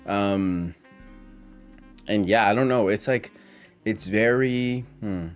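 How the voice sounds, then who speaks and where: A man's voice: lowish voice, slow, smooth, soft background music. A man in his twenties talking in a casual, confessional vlog monologue, reflective and hesitant as he rambles through his thoughts. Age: 20s. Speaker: content creator.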